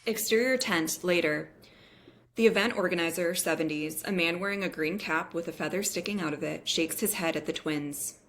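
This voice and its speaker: A woman's voice: on the low side, normal speed, a bit bright. A woman reading screenplay stage directions as narrator in scripted table-read style.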